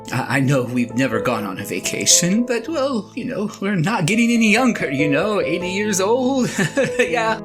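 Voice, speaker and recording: An eighty-year-old shepherd husband in an audio drama, acted by a woman, flustered and stammering. The voice sits slightly low but leaps about in pitch with excitable, sheepish energy. Faint music plays along with it. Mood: nervous, coaxing. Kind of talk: audio drama monologue, one side of a conversation.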